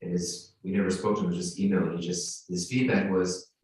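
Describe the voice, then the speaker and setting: A man with a loud voice telling a personal story hesitantly, with many restarts. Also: deep voice, little pitch movement, fast. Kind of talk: casual personal anecdote. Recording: echoey hall, distant mic, faint noise.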